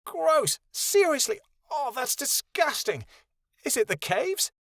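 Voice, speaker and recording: Man putting on a high, bossy adventurous woman. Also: very animated, normal speed, no room sound, studio quality. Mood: disgusted. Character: bossy, compassionate, squeamish female adventurer.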